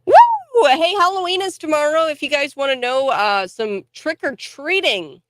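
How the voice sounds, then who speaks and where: A woman's voice: high voice, expressive, moderate pace, fairly bright tone, dry recording. Scratchy-voiced woman radio host. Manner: chatty, rambling with fillers and small laughs. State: sick, scratchy voice. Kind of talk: casual morning radio chat.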